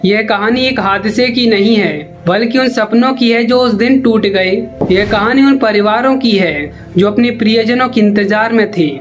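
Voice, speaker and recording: A woman's voice: fairly high pitch, normal speed, few pauses. A woman delivers scripted documentary-style news narration. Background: background music.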